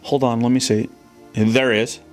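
A man's voice: mid-range voice, little pitch movement, a little slow, soft background music. A man acting as an adventurer in an audio drama, in a casual, conversational style.

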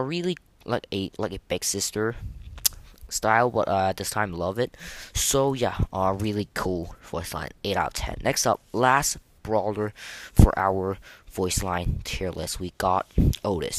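A man with a fairly low voice hosts a casual gaming podcast. He talks in a halting, informal way, circling back and repeating words before moving on. The sound is close and dry, with little room in it. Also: animated, no echo. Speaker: podcast host.

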